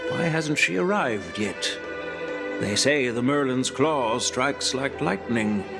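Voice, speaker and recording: A shrewd old general from a video game, speaks slowly and with weight. His pitch rises and falls widely as he turns from measured counsel to wry teasing. Clear music plays behind him. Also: animated. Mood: grave, measured. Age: older. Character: elderly male general, shrewd, wry grandfather.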